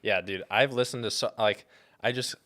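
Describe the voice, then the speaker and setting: A man's voice: lowish voice, normal speed, pushed and bright. A man with an American accent chatting casually as a podcast guest, telling things in a rambling, informal way peppered with "like" and "dude".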